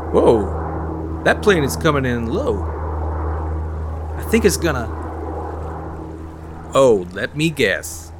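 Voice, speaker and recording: A man's voice: medium pitch, big pitch swings, slow pace, pauses often, noisy background. A man playing a folksy, chatty, nosy small-town fisherman in an audio drama, rambling on good-naturedly.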